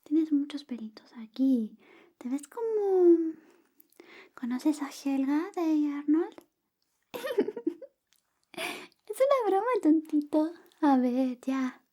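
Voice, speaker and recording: A woman whispering softly and gently in an ASMR roleplay, playing a friend who grooms you. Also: high voice, lively intonation, slow, long pauses. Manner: soft whispering, close and gentle.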